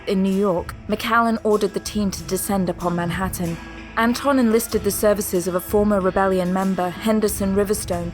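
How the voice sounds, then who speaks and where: A woman reading scripted dramatic narration for an audio drama. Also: medium pitch. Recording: music under the voice. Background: background music.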